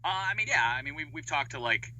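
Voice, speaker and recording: A man's voice: a little high, lively intonation, quick pace, pushed and bright, a little hiss or hum. A man chatting casually and colloquially as an interview guest over an internet call. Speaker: interview guest, podcast creator.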